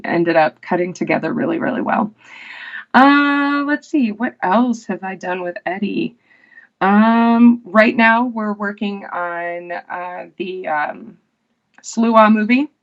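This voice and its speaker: A woman, an actress, chatting casually as an interview guest, unhurried and full of fillers and restarts. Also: mid-range voice, lo-fi sound.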